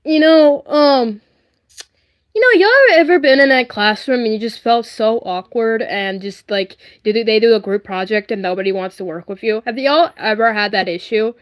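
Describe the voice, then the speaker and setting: Woman livestream host, slightly high voice, casual and rambling, earnest, repeats points for emphasis. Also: expressive, normal speed.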